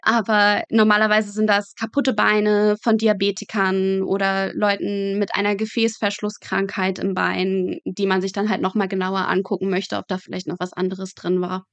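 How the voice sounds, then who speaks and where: A woman's voice: mid-range voice, monotone, moderate pace, few pauses, dry recording. A young woman explaining things as a podcast guest in a casual, matter-of-fact way with dry humour. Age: young adult. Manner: matter-of-fact, colloquial, drily humorous. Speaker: podcast guest, medical student working in pathology.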